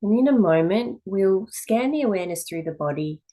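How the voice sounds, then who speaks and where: A woman teaching yoga, giving calm, measured guided meditation instruction in short phrases with pauses between them. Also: medium pitch.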